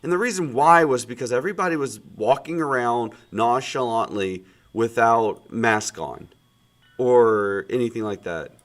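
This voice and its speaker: A man in his thirties with a cold, chatting casually while streaming a game, his normally loud voice sounding muted and subdued, rambling with many fillers. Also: mid-range voice, lively intonation, a little slow. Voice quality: loud projecting voice, subdued today. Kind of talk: casual chat while gaming.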